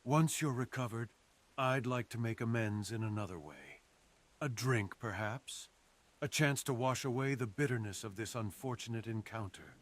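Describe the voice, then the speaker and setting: A man's voice: medium pitch, moderate pace, close to an everyday voice. A man playing a fox assassin in an ASMR roleplay, speaking theatrically and intimately to the listener, savoring his phrases. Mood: courteous, gentle. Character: menacing, sadistic male fox assassin with a remorseful streak. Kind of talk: ASMR roleplay, close dramatic monologue to the listener.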